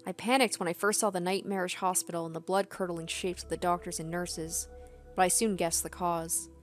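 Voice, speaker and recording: A woman's voice: lowish voice, steady tone, a little fast, soft background music. A young adult woman game streamer reading story narration aloud and adding casual commentary.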